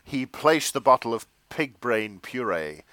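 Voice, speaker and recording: Male narrator, forceful and highly animated, theatrical storytelling, dry recording. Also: measured pace, no room sound. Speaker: podcast narrator. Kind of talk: dramatic comic reading of fan fiction with casual banter.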